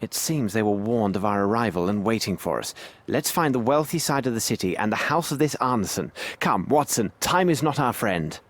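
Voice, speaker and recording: An actor playing a composed gentleman detective in a video game, speaking formally and precisely with courteous authority. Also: on the low side, lively intonation, fairly quick. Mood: brisk, pensive. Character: composed, commanding, clever English gentleman detective, adult male. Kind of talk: video game cutscene acting.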